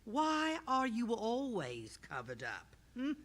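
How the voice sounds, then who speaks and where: A woman's voice: on the high side, wildly swooping pitch, slow pace, fairly bright tone, full character voice. A woman playing a nosy, indignant landlady in a radio-style drama, fussy and stammering when flustered. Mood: accusing. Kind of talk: radio-style stage audio drama acting.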